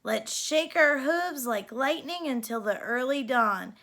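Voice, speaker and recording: A woman's voice: high-pitched, expressive, unhurried, bright, forceful tone, full character voice. A woman voicing an eager young cowboy prince who calls out square dances in a folksy holler, in a storybook reading. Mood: rousing.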